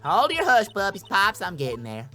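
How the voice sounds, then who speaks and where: A man's voice: high voice, huge pitch swings, normal speed, pushed and bright, faint music behind, pitched above the performer's own voice, full character voice. A man voicing a brash, flustered Southern woman witness in a courtroom game, with a folksy, indignant, stammering manner and a Southern US accent.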